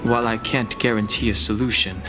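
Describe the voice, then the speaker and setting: An actor playing a reserved young dragon-descended warrior in a video game, with a formal, serious, measured delivery. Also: male voice, lowish voice, steady tone, close to an everyday voice. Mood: solemn, earnest. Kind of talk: video game character voice acting. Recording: loud music behind the voice.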